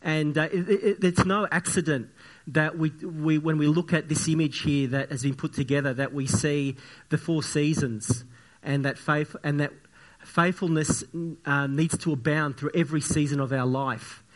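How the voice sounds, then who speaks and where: A man preaches a sermon with a croaky, slightly sniffly voice. He talks earnestly and haltingly, circling back and restarting his phrases. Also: fairly high pitch, steady tone, rough recording. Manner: earnest, repetitive, halting with many fillers and restarts. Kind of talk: church sermon with opening prayer.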